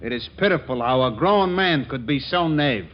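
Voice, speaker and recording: A man's voice: mid-range voice, lively intonation, moderate pace, close to an everyday voice. An actor playing a blunt, loyal tough-guy manservant in a 1940s radio comedy, with pompous, mangled formal diction. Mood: suspicious, scolding. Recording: muffled 1940s radio broadcast.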